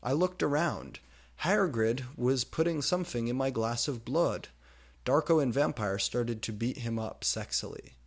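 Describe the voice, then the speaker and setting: A man reading fan fiction aloud with deliberate, theatrical, comedic narration. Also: mid-range voice.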